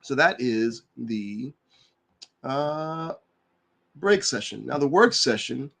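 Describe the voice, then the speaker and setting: A man who is getting over a cold and sounds a little nasal, hosting a live online work session and explaining its features in a relaxed, rambling way full of fillers. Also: medium pitch, slow, gravelly. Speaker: livestream host and developer advocate. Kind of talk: casual explanatory livestream talk.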